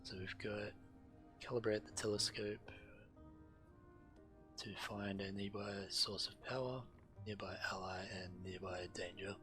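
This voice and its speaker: A man with a low voice reads game quest text aloud and drops in casual commentary as he plays. Clear game music runs behind him. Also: steady tone, measured pace, long pauses. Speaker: game streamer. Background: video game music.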